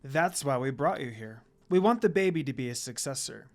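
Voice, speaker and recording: A man's voice: mid-range voice, animated, a little fast, close to an everyday voice. Evil sorceress read by a man: smug, scheming, plainly threatening, understated, for a fan fiction audio story.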